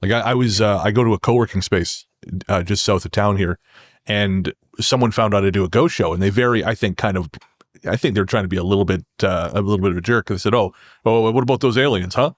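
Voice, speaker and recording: Wry man with a lowish, dry-recorded voice. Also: quick pace, dry recording. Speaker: podcast guest, ghost show host. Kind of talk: casual anecdotal chat.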